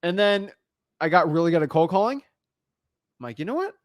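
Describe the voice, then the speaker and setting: A young man hosting a casual chat, telling stories in an energetic, animated way. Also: sits high, dry recording. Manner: energetic, fast-talking, animated storytelling. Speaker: podcast host.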